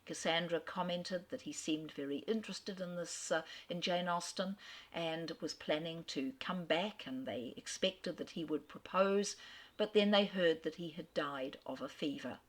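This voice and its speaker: A middle-aged woman giving a recorded talk on an author, clear and measured, with wry asides. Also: fairly low pitch. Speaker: literary speaker.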